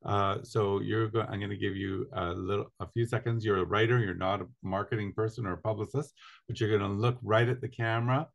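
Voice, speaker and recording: A man's voice: fairly low pitch, fairly even intonation, brisk, gravelly, muffled recording. A middle-aged man hosting a video call interview, chatty and casual with filler uhs, speaking from an indoor room. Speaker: interviewer, host. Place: indoor room with large window.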